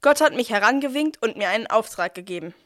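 An eager messenger angel, voiced by a woman, with a fairly high voice. The figure speaks to the listener in plain, friendly words, narrating a nativity radio play. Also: animated, fast talker, lightly acted.

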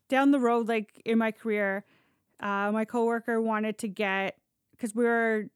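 A woman's voice: a little high, steady tone, measured pace, clean tone, no echo, studio quality. A Canadian woman telling stories casually on a podcast, candid and sweary, often acting out dialogue as she goes. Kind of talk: casual conversational storytelling.

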